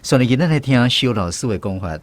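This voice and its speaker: A man's voice: mid-range voice, expressive, quick pace. A man with a Taiwanese accent hosting a language lesson segment on the radio. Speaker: host of a translation quiz segment.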